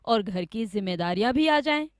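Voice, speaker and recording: A woman's voice: a little high, expressive, moderate pace, no room sound, studio quality, lightly acted. A woman voicing a scheming, greedy village man with an insistent, dramatic manner in a cartoon story. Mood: smooth, persuasive. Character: scheming greedy village man, falsely accusing his friend.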